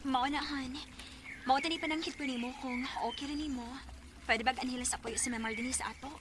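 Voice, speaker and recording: A woman's voice: high voice, fairly even intonation, brisk, over loud music, natural voice. A woman with a Cebuano accent acting a practical, dismissive wife in a Bisaya radio drama. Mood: asking.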